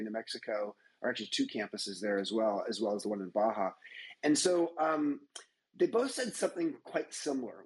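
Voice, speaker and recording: A man in a casual conversation, calmly explaining ideas in a reflective way. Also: medium pitch, poor audio.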